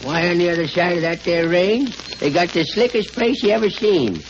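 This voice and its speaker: A garrulous rustic attendant in an old radio play. His high voice rambles along in a folksy drawl. The recording is muffled and crackles with noise. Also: normal speed. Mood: chatty, eager. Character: folksy, garrulous rustic gas station man. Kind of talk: 1930s radio acting.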